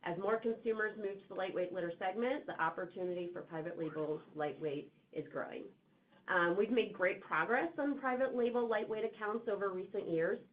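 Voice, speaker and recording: A woman executive giving a prepared business answer on an earnings call, in measured corporate phrasing. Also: fairly low pitch, slight background noise. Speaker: company executive answering investor questions. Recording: thin, phone-like call line.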